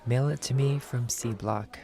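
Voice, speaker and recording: A man's voice: mid-range voice, fairly even intonation, unhurried, gravelly, warm tone. A man playing a short-tempered, sarcastic bounty hunter and ex-cop, blunt and dismissive, in an acted film script read. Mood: curt, irritated.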